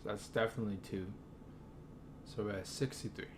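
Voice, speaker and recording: A man's voice: lowish voice, fairly even intonation, brisk. A man giving casual gameplay commentary as he streams, often muttering to himself. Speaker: game streamer. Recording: clearly noisy room sound.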